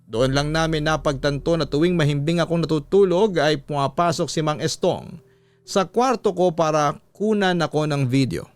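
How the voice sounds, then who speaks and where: A man's voice: a little high, smooth, no echo. A man narrating a scripted first-person story as the host of a radio drama.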